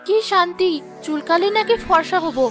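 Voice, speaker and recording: A woman acts out a vain, gullible young village wife in a cartoon drama. The voice is extremely high and childlike, whiny and theatrical, with music playing behind it. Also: moderate pace, a bit bright. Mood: distressed. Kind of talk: Bengali cartoon moral drama voice acting.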